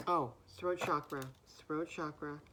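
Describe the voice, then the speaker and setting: A woman giving a spiritual message in a gentle, soothing way, with a naturally deep voice that is somewhat hoarse. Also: steady tone, measured pace, noisy background. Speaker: spiritual card reader. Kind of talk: spiritual message, slow and reflective.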